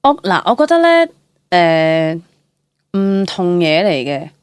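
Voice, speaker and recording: A young woman with a Hong Kong Cantonese accent chats as an interview guest, telling stories in an animated, candid way and dropping in English words. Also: fairly low pitch, slow, smooth, dry recording, clean studio sound. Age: young adult. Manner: animated, chatty, mixes English words into speech. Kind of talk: casual, candid storytelling.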